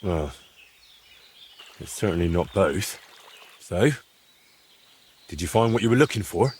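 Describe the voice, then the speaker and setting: A young medieval game hero with a lowish, lively voice. He is plain-spoken, curious and frank, with faint outdoor ambience around him. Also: a little slow, slight background noise. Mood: concerned, curious. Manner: hesitant, frank, good-natured teasing. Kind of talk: dramatic dialogue in a cinematic historical game.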